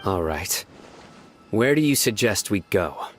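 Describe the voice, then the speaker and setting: An actor playing a stoic, intimidating investigator in a video game, terse and controlled. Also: male voice, fairly low pitch, very animated, a little slow, faint music behind. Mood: curt.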